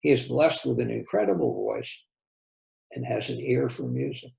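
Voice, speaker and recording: A man's voice: medium pitch, normal speed, creaky, mellow, echoey room, rough recording. A man reading a novel aloud as a volunteer story reader, in scripted first-person narration.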